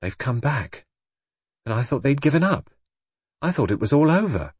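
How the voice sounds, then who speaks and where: A man's voice: mid-range voice, very animated, moderate pace, a little mellow, breathy edge, dry recording, pitched above the performer's own voice. A man voicing a nervous, hysterical young wife in an audiobook, pleading and complaining. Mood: fretful. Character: frightened, hysterical young wife protesting her innocence. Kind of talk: audiobook character voice.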